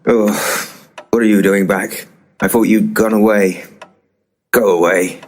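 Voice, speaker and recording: Deep, grumpy, boozy ghost hunter. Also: male voice, fairly even intonation, slow, a little hiss or hum. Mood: grumpy, muddled. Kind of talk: full-cast comic audio drama.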